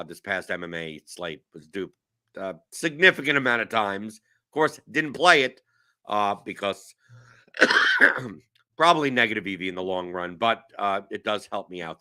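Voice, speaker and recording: A man's voice: lowish voice, lively intonation, normal speed, sharp tone. A man in his forties from New York, hosting a casual show in a rambling, self-mocking way with lots of restarts. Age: 40s. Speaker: show host. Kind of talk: casual chat.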